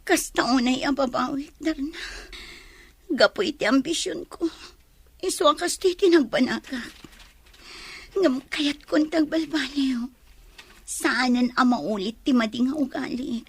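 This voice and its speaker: A woman's voice: high voice, measured pace, long pauses. A woman playing a despondent, regretful young village daughter in a radio drama, plaintive and downcast, with an Ilocano accent.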